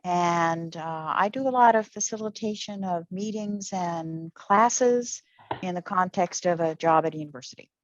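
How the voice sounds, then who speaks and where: A woman's voice: on the low side, moderate pace, no echo, highs cut off. A woman from Tennessee who facilitates at a university, introducing herself with enthusiasm. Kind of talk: casual self-introduction. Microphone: video call.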